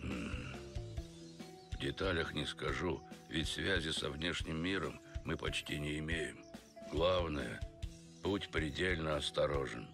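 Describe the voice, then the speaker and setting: Very deep, gruff bear. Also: male voice, slow pace, over music, full character voice. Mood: dry, instructing. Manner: terse, commanding, dry. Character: gruff talking bear, stern courier boss, adult male. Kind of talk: animated noir film acting.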